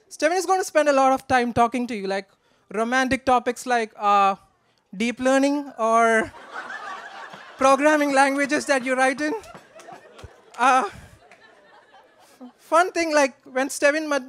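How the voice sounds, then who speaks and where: A man gives a joking, affectionate, slightly halting wedding toast from notes into a handheld microphone. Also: extremely high pitch, fairly bright tone, clean tone. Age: 20s. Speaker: best man, groom's brother. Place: wedding reception in a large draped marquee.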